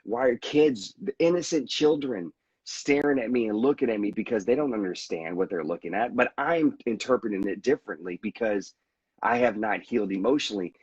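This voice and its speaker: Husky, low-voiced man speaking earnestly. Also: animated, a little fast, a little mellow, no room sound, highs cut off. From United States. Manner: earnest and reflective, with frequent restarts. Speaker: veteran guest. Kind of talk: candid conversational storytelling.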